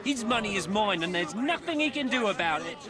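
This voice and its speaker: An actor playing a cocky, defiant Victorian street gambler in a video game cutscene. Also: male voice, high voice, big pitch swings, brisk, over loud music, over a noisy crowd.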